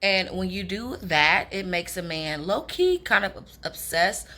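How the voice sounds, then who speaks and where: A young woman with a raspy, hoarse voice, chatting casually to her viewers about relationships. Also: medium pitch, sing-song, unhurried, bright, forceful tone. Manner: chatty, confiding, addresses viewers as girls. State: hoarse from talking all day. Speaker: relationship advice vlogger. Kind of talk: casual advice talk to camera.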